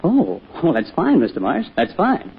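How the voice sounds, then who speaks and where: An American actor playing a young orphaned sailor, earnest, shy and polite, speaking hesitantly in 1940s radio drama style. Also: male voice, fairly high pitch, huge pitch swings, normal speed, slightly rough, faint music behind, full character voice. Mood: polite, bashful. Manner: hesitant, humble, folksy. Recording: tinny, noisy old radio broadcast.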